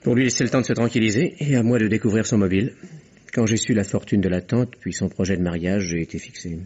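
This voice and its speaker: Fast-talking shrewd investigator, husky. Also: male voice, on the low side, darkish tone. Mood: composed, explanatory. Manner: explanatory, matter-of-fact, assured. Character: shrewd, composed male investigator explaining his deductions. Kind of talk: French radio mystery drama acting. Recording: muffled lo-fi vintage radio recording.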